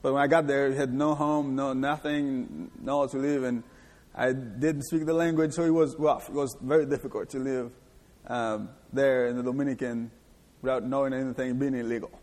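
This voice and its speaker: A man from Haiti, a guest in an interview, telling his life story in a halting way, with restarts and laughs. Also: medium pitch, fairly even intonation, a little mellow. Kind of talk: personal testimony in a church interview. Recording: reverberant hall.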